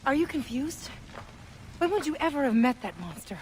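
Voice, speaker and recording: An actress playing a fierce, protective mother in a video game, earnest and forceful in her speech. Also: high-pitched, very animated, normal speed, slight background noise. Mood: distressed. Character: fierce, protective warrior mother, strong-willed and urgent. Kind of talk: dramatic video game acting.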